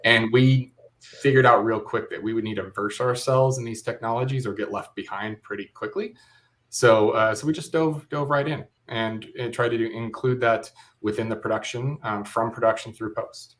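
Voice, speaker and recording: A man's voice: mid-range voice, steady tone, moderate pace, fairly bright tone. A man talking casually as an interview guest, a director explaining a creative project.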